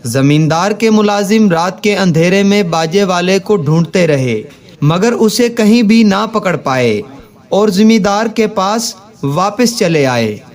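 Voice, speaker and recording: A man's voice: sits high, expressive, slow pace. A man narrating a scripted children's moral story.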